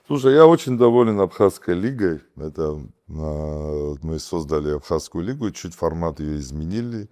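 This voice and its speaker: A man with an Abkhaz accent, talking casually as an interview guest, with animated bursts and many fillers and restarts. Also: deep voice, normal speed, few pauses. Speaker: guest, comedy team captain and producer.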